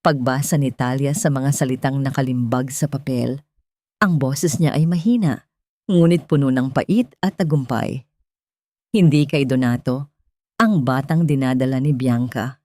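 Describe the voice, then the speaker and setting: A woman's voice: extremely low pitch, measured pace, dark tone, no echo, studio quality. A woman reading a dramatic story aloud as a scripted audiobook narration.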